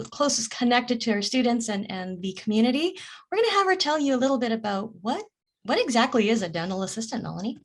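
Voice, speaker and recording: Woman hosting a career webinar. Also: medium pitch. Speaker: webinar host. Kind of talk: webinar introduction and moderation.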